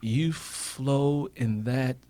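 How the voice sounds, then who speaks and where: A man's voice: medium pitch, very slow, warm tone. A man speaking as a radio interview guest in a motivational style, emphatic and repeating phrases for effect. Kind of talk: motivational talk on a radio show.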